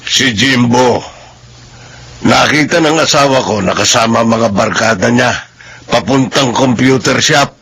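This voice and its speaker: A blunt filipino uncle, low and plain, slight hiss. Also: male voice, a little slow, fairly bright tone, low-quality recording. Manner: blunt, matter-of-fact. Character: middle-aged uncle, blunt. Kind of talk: radio drama.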